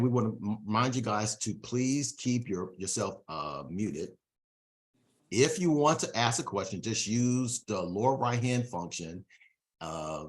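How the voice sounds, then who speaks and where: A man hosting a live online podcast interview, hyping up his guest with casual slang and repeated phrases, speaking over a video call. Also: lowish voice. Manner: hype emcee energy, casual slang, repeats himself. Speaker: podcast host.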